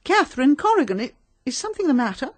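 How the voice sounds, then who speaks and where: High-pitched flustered lady novelist. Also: big pitch swings, fast, dry recording. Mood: distracted, helpful. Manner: flustered, distracted, chatty. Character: scatterbrained, busy woman mystery novelist. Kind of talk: radio drama acting.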